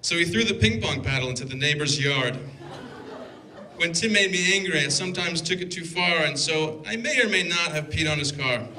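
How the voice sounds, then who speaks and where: A man's voice: a little high, moderate pace, noisy background. A man in his twenties giving a wedding toast as best man, reading from notes into a handheld mic with a dry, teasing delivery. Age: 20s. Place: wedding reception hall, indoors. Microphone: handheld microphone. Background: guests laughing.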